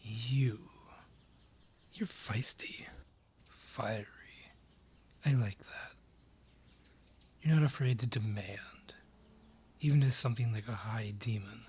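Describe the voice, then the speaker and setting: A man voices a menacing male dryad, lord of his forest, in a fantasy audio drama. The voice is husky and breathy, unhurried and deliberate, dripping with mockery and condescension. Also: fairly low pitch, a little mellow, full character voice. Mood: intrigued, smug. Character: haughty, sly male dryad ruling a forest, menacing and mocking. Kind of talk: fantasy audio roleplay acting.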